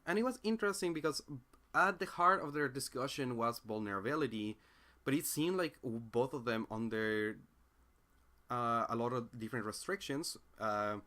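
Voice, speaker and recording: A man's voice: mid-range voice, normal speed, sharp tone. A young man with a Spanish accent, a native Spanish speaker, talking through his ideas in a casual reflective monologue, searching for words with frequent fillers and restarts. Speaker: independent philosophy commentator.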